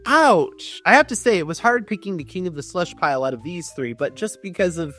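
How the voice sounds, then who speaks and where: Man, fairly high voice, theatrical reading, sarcastic dry asides, reviewer commentary, light music behind. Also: animated, fairly quick, fairly bright tone. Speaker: writing coach reviewing badly written books. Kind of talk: dramatic reading of book prose with mocking commentary.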